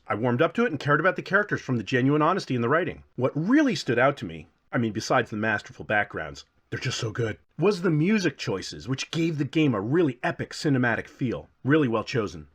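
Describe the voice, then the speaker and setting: A man's voice: medium pitch, a bit bright, breathy edge. A man hosting a casual scripted game review with playful, self-deprecating asides. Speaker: video game reviewer and channel host.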